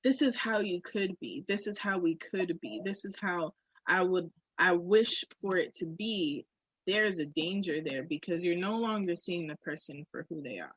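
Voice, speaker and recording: A woman's voice: lowish voice, moderate pace, breathy edge, no echo. A woman in candid, casual podcast conversation, frank and reflective, swearing freely. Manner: frank, uses profanity, reflective. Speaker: podcast co-host. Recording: dull, muffled lo-fi audio.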